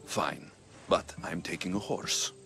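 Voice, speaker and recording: An actor playing a young, sardonic, impatient mercenary hero in a video game, speaking dryly and bluntly. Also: male voice, low-pitched, takes it slowly, creaky, music in the background, heavily acted. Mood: dry, firm. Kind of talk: video game character acting.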